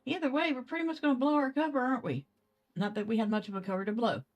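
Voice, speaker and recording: A woman's voice: a little high, quick pace, a little mellow, lo-fi sound. A woman hosting a casual let's play, thinking aloud playfully as she goes. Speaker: gaming video host.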